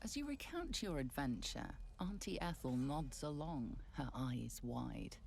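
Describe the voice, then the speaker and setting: Low, creaky fantasy game narrator. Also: female voice, lively intonation, a little mellow, slight background noise, exaggerated voice. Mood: grave, knowing. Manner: measured, deliberate. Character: grave, knowing female storyteller of a fantasy game. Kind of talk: scripted second-person narration.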